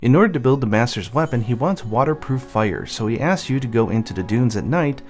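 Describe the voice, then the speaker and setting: A man's voice: mid-range voice, animated, brisk, darkish tone. Man narrating a story recap over music. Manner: explanatory, storytelling summary. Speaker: narrator and channel host. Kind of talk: light scripted narration over game cutscenes. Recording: music behind the voice. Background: game music.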